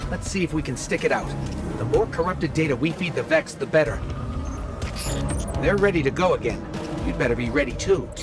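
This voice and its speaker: A quippy, helpful robot sidekick from a video game, voiced by a man. The voice is fairly high and animated, earnest and curious, with music underneath. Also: a little fast, long pauses, close to an everyday voice. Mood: determined, eager. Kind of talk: video game cutscene acting.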